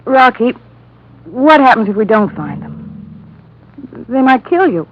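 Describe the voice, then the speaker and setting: A woman's voice: on the high side, sing-song, slow, heavily acted. A woman playing an anxious, loyal young girlfriend in a 1950s radio drama. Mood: worried, eager. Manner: worried, questioning, earnest. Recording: dull 1950s radio recording.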